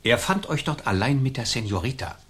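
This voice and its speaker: A man's voice: medium pitch, lively intonation, a little fast, breathy edge. A man acting a haughty, vindictive governor in a radio play, imperious and scornful in formal speech. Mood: stern, accusing. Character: haughty, vindictive colonial governor, quick to rage.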